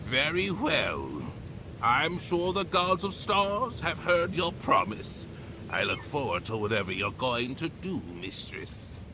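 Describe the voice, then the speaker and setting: An actor playing a haughty, condescending official in a fantasy game, speaking formally and with measured authority. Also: male voice, a little high, sing-song, noisy background. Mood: smug, threatening. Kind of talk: dramatic game cutscene acting.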